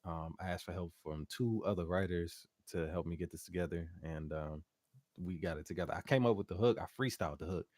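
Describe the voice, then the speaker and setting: Deep-voiced relaxed singer-producer guest. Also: male voice, a little fast, dry recording, studio quality. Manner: relaxed, hums melodies, laughs easily. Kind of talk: casual interview chat.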